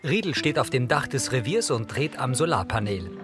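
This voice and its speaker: Man narrating scenes, lively pitch. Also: moderate pace, music in the background. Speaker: audio description narrator. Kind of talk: scripted scene narration in present tense.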